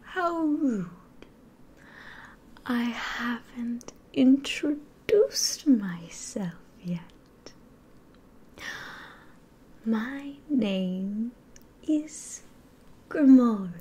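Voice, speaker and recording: A woman's voice: a little high, expressive, extremely slow, long pauses, warm tone, breathy edge, full character voice. A young woman playing a sly, grandiose demon ruler in an ASMR roleplay, mostly whispering theatrically close to the microphone. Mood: haughty. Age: 20s.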